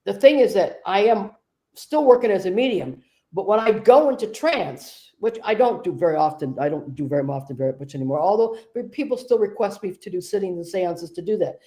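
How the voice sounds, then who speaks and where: A woman hosting a conversation, a medium telling stories in a relaxed, discursive way. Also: medium pitch, a little fast. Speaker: host and trance medium. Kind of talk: casual conversation.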